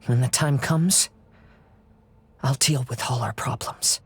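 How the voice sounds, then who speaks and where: An actor playing a gentle, earnest young samurai heir in a video game, soft-spoken and poetic in manner. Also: male voice, medium pitch, slow, husky, heavily acted. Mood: earnest pleading. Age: young adult. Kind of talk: dramatic video game dialogue.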